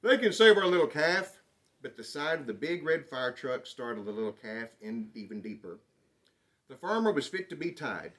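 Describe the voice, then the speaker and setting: Male storyteller, mid-range voice, children's book read-aloud, expressive and dramatic delivery. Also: medium pitch. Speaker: storybook reader.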